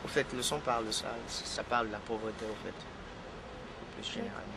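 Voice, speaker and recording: A man's voice: fairly low pitch, fairly even intonation, a little fast. Hesitant man over traffic noise. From Guinea. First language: Fula. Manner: hesitant, repeats words, frequent "tu vois" fillers. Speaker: singer being interviewed. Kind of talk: casual interview chat.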